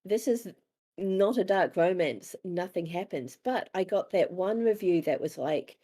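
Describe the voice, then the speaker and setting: A woman's voice: on the low side, lively intonation, a little slow, warm tone. A woman with a New Zealand accent talking casually as an interviewed author, candid and self-deprecating, acting out bits of dialogue as she tells stories.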